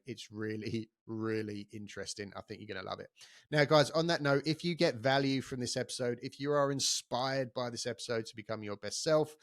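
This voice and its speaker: A man's voice: medium pitch, no echo, clean studio sound. A man hosting a podcast, chatty and enthusiastic, talking casually with many restarts. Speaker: podcast host.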